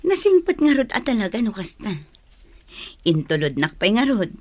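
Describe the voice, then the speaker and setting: A woman's voice: medium pitch, very animated, normal speed, a little mellow. A woman with an Ilocano accent playing a caring, anxious wife and mother in a radio drama. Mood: conversational.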